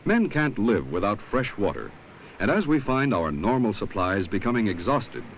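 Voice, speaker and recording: A man reading scripted 1970s documentary narration in a measured, instructive way, on an old film soundtrack. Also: lowish voice, dull audio, a little hiss or hum.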